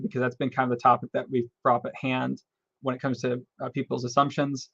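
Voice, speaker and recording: A man's voice: mid-range voice, a bit bright, no room sound. A man hosts a livestreamed panel from behind his computer, talking loosely with rambling friendly asides. The sound is dry with no room to it. It is muffled and lo-fi, like a cheap webcam mic. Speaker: host of a live panel stream. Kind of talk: casual moderating.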